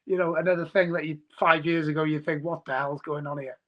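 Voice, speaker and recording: A man's voice: fairly high pitch, steady tone, quick pace, lo-fi sound. A man from North Wales with a North Welsh accent, a Welsh speaker, chatting casually on a podcast and rambling with many restarts. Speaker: podcast guest. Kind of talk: casual chat.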